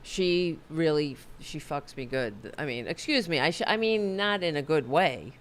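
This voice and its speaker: A woman's voice: low voice, lively intonation, a little slow. Chatty woman host losing her voice. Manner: rambling, chatty, self-deprecating, swears and corrects herself. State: losing her voice, a little sick. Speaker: host of a political talk livestream. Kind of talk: casual livestream chat. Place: home office.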